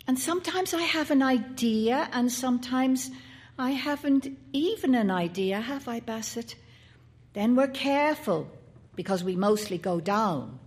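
Woman doing a high, eager young boy. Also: expressive, a little slow. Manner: eager, stammering, pleading. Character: anxious intense young boy.

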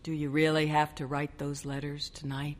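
A woman's voice: deep voice, level tone, moderate pace, lightly acted. A woman voicing a young newlywed husband who soothes and coaxes, a little exasperated, during a short story reading. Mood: protesting. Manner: coaxing, soothing.

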